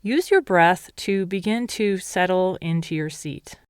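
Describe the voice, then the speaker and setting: A woman meditation teacher leading a guided meditation in a calm, measured, instructional way. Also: on the low side, clean tone, no room sound, studio quality.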